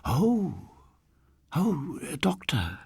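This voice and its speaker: A man voicing a hesitant, fussy old lady in a breathy, flustered way for an audiobook story. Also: a little high, wildly swooping pitch, a little mellow, dry recording, voice pushed up, full character voice. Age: older. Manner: flustered, halting.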